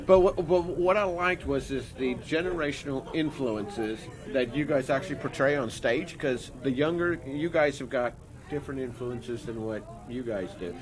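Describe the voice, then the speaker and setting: A man's voice: mid-range voice, normal speed, crowd noise, poor audio. A man casually interviewing a band, joking and rambling as he goes.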